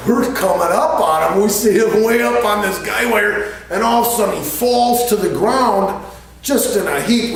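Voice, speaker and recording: Echoey middle-aged man telling a story. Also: a little high. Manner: colloquial, crude humor, chuckles as he tells it. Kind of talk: casual animated storytelling. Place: garage workshop, indoors. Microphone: camera several feet away. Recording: echoey, distant camera mic with faint noise.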